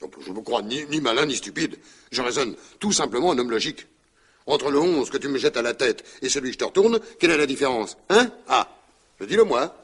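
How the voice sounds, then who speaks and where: An exasperated husband. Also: male voice, medium pitch, lively intonation, fairly quick, fairly bright tone, breathy edge. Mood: reasoning. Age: 30s. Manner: reasoning, exasperated, hesitant. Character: exasperated logical husband in his thirties. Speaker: radio drama actor. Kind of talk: 1960s French radio drama acting.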